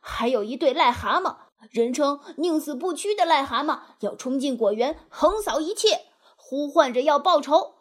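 Boastful storybook mole played by a woman: high, animated, gleeful, self-satisfied. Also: moderate pace. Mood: boastful, excited.

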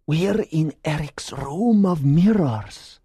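Guy doing a grave persian guide in an audiobook, slow and ominous, kinda dark muted tone, bossy and wary. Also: fairly high pitch, animated, slightly rough, dry recording, studio quality, voice pushed up, exaggerated voice. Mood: fearful.

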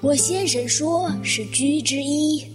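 A woman playing a polite, quietly insistent elderly widow in a Chinese audio drama, speaking with measured courtesy. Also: high-pitched. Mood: courteous, insistent. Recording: guitar music under the voice.

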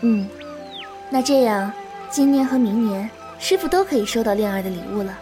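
Wuxia audio drama: gentle, bashful young woman disciple voiced by an actress, high soft register, music bed. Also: expressive, normal speed, lightly acted. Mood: gentle, patient. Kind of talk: Chinese wuxia radio drama acting.

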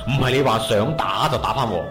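An actor playing a stern, old-fashioned gang boss in an internet radio drama, measured and authoritative, with a Hong Kong Cantonese accent. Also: male voice, mid-range voice, close to an everyday voice. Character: stern, old-fashioned triad big brother, protective of sister. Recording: echoey, music behind.

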